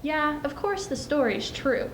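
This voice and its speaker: Sassy young female storyteller, higher pitched, chatty comic monologue, echoing room with faint noise. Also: lively intonation, unhurried. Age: teens or young adult. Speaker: student storyteller. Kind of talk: performed comic fairy-tale monologue. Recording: roomy, noisy amateur stage recording.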